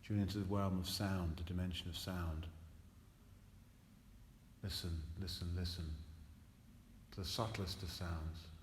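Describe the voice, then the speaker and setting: A man leading a guided relaxation meditation as a teacher, soothing and hypnotic, repeating phrases between long pauses. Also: deep voice, fairly even intonation. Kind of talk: guided relaxation meditation, spoken live to a group. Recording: echoey room with long reverb, faint noise.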